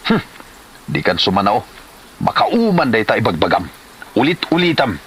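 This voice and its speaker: A man's voice: lowish voice, huge pitch swings, moderate pace, a bit bright, a little hiss or hum. A man acting a lazy, hungry gambler husband who freeloads, in an Ilocano drama. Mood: irritated. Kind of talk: Ilocano comedy drama dialogue.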